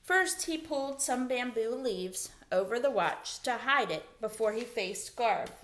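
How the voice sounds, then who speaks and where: Female storybook reader, teacher type, lively swooping delivery, voice a little high. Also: a little slow, a little hiss or hum. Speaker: teacher reading a storybook aloud. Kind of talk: expressive children's story narration.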